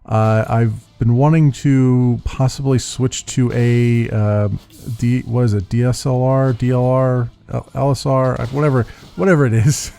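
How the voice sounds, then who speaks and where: A man with a deep voice hosting a gaming channel, rambling through a casual update with many ums and restarts into a desktop mic. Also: steady tone, vocal fry, clear, resonant tone. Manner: halting, full of uhs and restarts. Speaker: YouTube gaming channel host. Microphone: USB desktop condenser mic. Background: soft background music.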